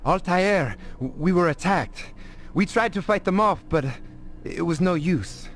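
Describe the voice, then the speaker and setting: An actor playing an anxious, earnest resistance follower in a video game, speaking with a troubled, deferential manner. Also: male voice, high voice, expressive, a little slow. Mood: distressed. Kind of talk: video game cutscene acting. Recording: game audio with music behind.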